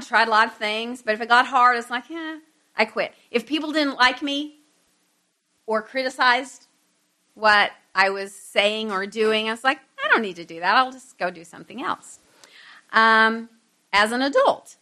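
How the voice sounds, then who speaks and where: A woman's voice: on the high side, pushed and bright. A middle-aged woman giving an informal Bible lesson to a group, conversational, with self-deprecating asides to her listeners.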